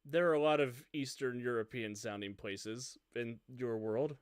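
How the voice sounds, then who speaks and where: A man voicing a gruff American wizard and war veteran in a tabletop game, asking dry, curt questions. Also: medium pitch, steady tone, a little slow, no echo, close to an everyday voice. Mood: skeptical. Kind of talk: tabletop roleplay in character.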